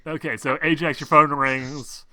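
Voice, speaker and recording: A man's voice: on the high side, steady tone, measured pace, crowd noise, rough recording. A man acting as game master, talking casually and informally as he runs a tabletop roleplaying game.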